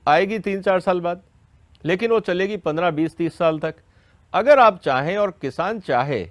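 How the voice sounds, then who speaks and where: A man's voice: fairly high pitch, no room sound. A man giving an educational question-and-answer explanation, didactic and step by step, often checking that listeners follow. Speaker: expert explaining a law to farmers.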